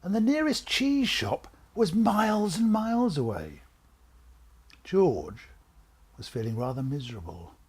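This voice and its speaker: Husky man reading a storybook, slow and dramatic. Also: on the high side, big pitch swings, darkish tone. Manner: theatrical, suspenseful, drops to whispers. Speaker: author reading own book.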